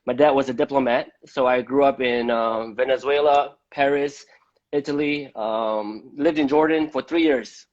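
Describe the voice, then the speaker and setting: Halting man from Malaysia, dull lo-fi audio. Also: medium pitch, steady tone, a little mellow. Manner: halting, repeats words. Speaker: host of a live debate. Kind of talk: conversational live-stream interview. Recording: dull, lo-fi live-stream audio.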